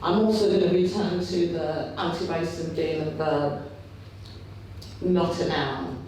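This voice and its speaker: Male union speaker, big loud voice, impassioned and repetitive, heard far off in a very echoey hall with faint noise. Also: a little high, fairly even intonation, unhurried. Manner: impassioned, repetitive rhetorical buildup. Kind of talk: rally speech at a union fringe meeting. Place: meeting hall. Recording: very echoey hall, picked up from afar.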